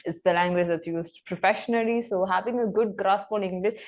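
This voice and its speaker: A woman's voice: mid-range voice, brisk. Indian woman tutor, dull lo-fi audio. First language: Malayalam. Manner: talkative, reflective, explanatory. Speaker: English tutor. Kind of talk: casual conversational English practice chat.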